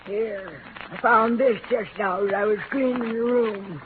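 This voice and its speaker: A woman's voice: medium pitch, measured pace, close to an everyday voice. A woman playing a talkative, scolding older landlady in 1940s radio style, folksy and indignant. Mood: gossipy, brisk. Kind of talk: 1940s radio character acting. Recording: tinny, noisy old radio broadcast.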